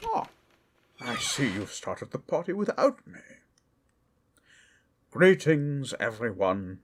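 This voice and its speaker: Guy doing a slow theatrical wizard, grand and secretive, voice swoops up and down. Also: mid-range voice, huge pitch swings, noisy background. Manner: theatrical, slow and hushed at times. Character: male human wizard, grand and mysterious.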